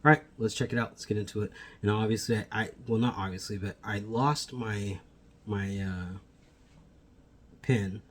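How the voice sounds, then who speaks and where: A man with a fairly low voice talks through a home plant vlog, rambling and backtracking mid-sentence in a friendly way. He sounds a little sniffly, picked up on a phone camera mic indoors with faint background noise. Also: steady tone, moderate pace, a bit bright. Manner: rambling, self-correcting, friendly address to viewers. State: sniffly from allergies. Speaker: plant shop co-owner and vlogger. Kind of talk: casual how-to vlog.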